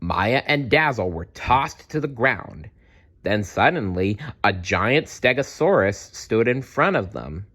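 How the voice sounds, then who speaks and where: A man narrates a children's picture book with a lively, widely swinging delivery. He takes his time over each phrase, keeping the storytelling expressive and easy to follow. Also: lowish voice, husky. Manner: animated, unhurried storytelling with small asides.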